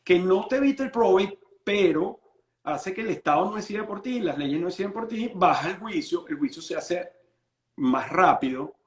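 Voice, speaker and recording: Man, lawyer, patient explainer, stumbles and repeats words, higher pitch, echoey room. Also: expressive, normal speed. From Venezuela. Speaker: estate planning lawyer. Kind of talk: explanatory interview answers.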